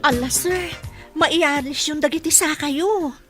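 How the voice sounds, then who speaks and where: A woman's voice: high-pitched, slow pace, loud music behind the voice. A woman playing an attentive, encouraging housekeeper and carer in a radio drama, with an Ilocano Filipino accent. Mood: respectful, encouraging.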